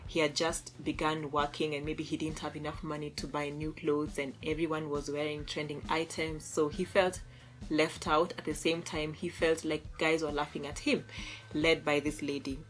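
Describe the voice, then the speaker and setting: A young woman from Kenya talks through posts in a loose, chatty vlog, laughing easily and restarting her sentences as she hunts for words. Her voice sits low. A little music plays faintly in the background. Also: little pitch movement, normal speed, poor audio. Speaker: vlogger. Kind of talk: casual commentary reading tweets.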